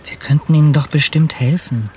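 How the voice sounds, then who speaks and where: A man's voice: a little high, fairly quick, a little mellow, close to an everyday voice. Guy playing earnest young-hearted arctic captain in a radio play, heartfelt and literary, bit of background noise. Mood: concerned. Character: earnest, ambitious male polar explorer and ship's captain. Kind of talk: radio drama acting and letter narration.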